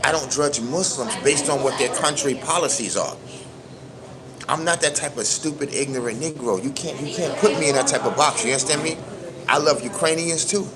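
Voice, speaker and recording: A man's voice: fairly high pitch, moderate pace. Brooklyn guy, middle-aged, going off on a livestream with lots of street slang, crowd noise behind him. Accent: New York, Brooklyn. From New York. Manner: emphatic, preachy, keeps asking "you understand me?"; street slang, animated storytelling. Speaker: livestream host. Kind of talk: casual livestream monologue.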